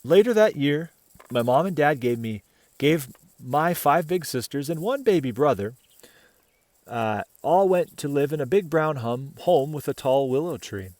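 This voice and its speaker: Expressive man reading a children's picture book. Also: a little high, no echo. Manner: expressive storyteller, pausing to comment and question the listener. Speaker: dad reading children's books aloud. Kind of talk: children's picture book read-aloud with casual asides.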